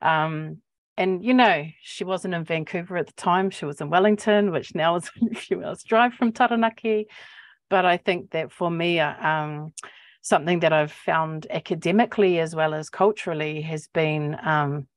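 A woman in her forties with a New Zealand accent, talking reflectively and haltingly as a poet on a panel, searching for words. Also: fairly low pitch, fairly even intonation, no room sound. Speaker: poet and academic. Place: book-lined office, indoors. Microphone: earphone mic on video call.

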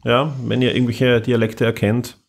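A man co-hosting a podcast about audio dramas, chatting in a relaxed way with some stumbles. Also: medium pitch, moderate pace, creaky. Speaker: podcast co-host.

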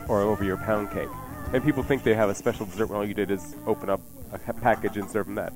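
A man's voice: lowish voice, steady tone, quick pace. Man chatting recipes over music. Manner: jokey, explanatory. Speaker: radio cooking show host. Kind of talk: casual cooking chat. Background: music playing behind the voice.

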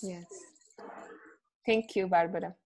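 A woman's voice: lowish voice, fairly even intonation, slow pace, a little mellow. A woman, hosting a video call discussion from home, hesitant with many fillers and laughs. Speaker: event host. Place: home room with bookshelves. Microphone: earphone mic on a video call.

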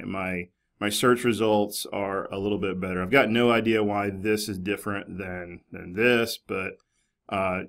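A man's voice: lowish voice, creaky, a bit bright. A man in his forties giving a casual, conversational screencast tutorial as a librarian presenter, explaining informally. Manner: conversational, informal, hedging with "basically" and "kinda". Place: small office, indoors.

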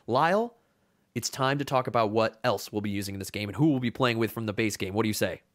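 A man in his thirties co-hosting a casual board game live stream from a home room on a webcam, chatty and excitable, laughing often. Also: fairly low pitch, fast talker. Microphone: webcam video call.

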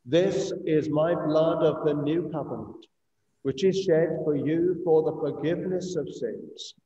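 Slow English priest in an echoing church. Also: male voice, fairly high pitch, dark tone. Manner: solemn, measured, deliberate phrasing. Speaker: priest leading communion service. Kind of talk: liturgical recitation of a eucharistic prayer. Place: inside a church, streamed over video call. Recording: echoey church, distant mic, muffled video-call sound.

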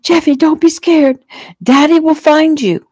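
A woman's voice: high-pitched, steady tone, measured pace, a little mellow, dry recording, pitched above the performer's own voice. A woman narrator plays a desperate mother in an audiobook. The voice is distraught and pleading, lifted above ordinary talk, with a close, dry sound. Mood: screaming, desperate. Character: desperate, pleading mother, frightened for her child. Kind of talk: audiobook character acting.

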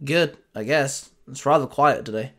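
A man's voice: mid-range voice, normal speed, fairly bright tone, natural voice. A man voicing an eager, earnest young male hero who talks himself up. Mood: casual. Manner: earnest, self-encouraging.

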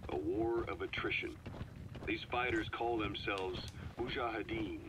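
A man voicing a grave veteran spy who delivers a deliberate, weighty scripted briefing in a video game. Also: on the low side, background noise, lightly acted. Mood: grave, measured. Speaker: intelligence briefer on an audio tape. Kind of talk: dramatic scripted briefing narration. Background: horse hooves and game ambience.

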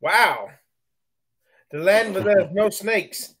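Middle-aged man, from the United States, high voice, webcam livestream, chatty, thanks viewers. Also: takes it slowly, a bit bright. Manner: chatty, thanks viewers for likes between remarks. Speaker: livestream host. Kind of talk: casual live broadcast chat. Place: bedroom at night, indoors.

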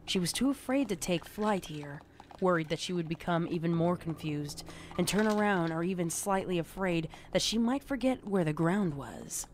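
A man's voice: high-pitched, expressive, moderate pace. A man reading scripted, dramatic narration of a horror story as its narrator. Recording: clear background noise and ambience.

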